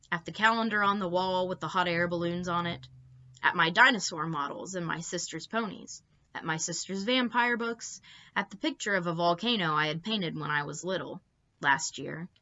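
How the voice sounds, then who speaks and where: A woman reading a children's story aloud with expressive, emphatic delivery and short asides to the listeners. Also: on the low side, normal speed, muffled recording. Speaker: librarian reading stories to children.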